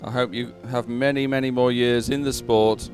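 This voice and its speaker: Male live sports commentator, mid-pitched, halting delivery as he gives updates and results, faint music underneath. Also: steady tone, a little mellow.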